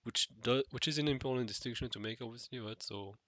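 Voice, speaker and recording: A man's voice: medium pitch, fast, bright, forceful tone, no room sound. A man with a nasal, stuffed-nose voice musing alone to the camera in an unscripted vlog, hesitant, with many fillers and restarts. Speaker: vlogger. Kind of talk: unscripted solo monologue.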